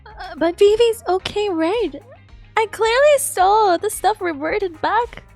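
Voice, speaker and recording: A woman live-streaming her excitable, emotional reaction to a game, exclaiming and casually swearing. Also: extremely high pitch, takes it slowly. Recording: game music behind. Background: game music.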